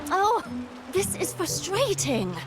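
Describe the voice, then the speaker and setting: An actress playing an expressive, unlucky young woman in a video game, with a dramatic, plaintive manner. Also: extremely high pitch, takes it slowly, heavily acted. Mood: flustered. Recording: music behind the voice.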